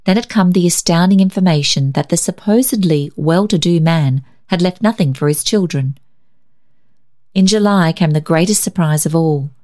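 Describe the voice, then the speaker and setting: A woman reading a story aloud as scripted narration, in an expressive, measured, dramatic way. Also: lowish voice. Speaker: story reader.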